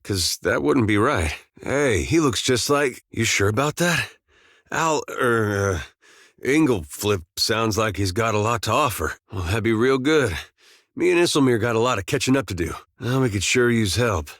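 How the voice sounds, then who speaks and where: Laid back farm boy soldier from a video game, lowish voice, dry humour, folksy, recorded real close and dry. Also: animated, normal speed, fairly bright tone, no room sound. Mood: wry, teasing. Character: easygoing folksy farmer turned soldier, wry, loyal, male. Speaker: video game companion character. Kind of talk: game dialogue and battle barks.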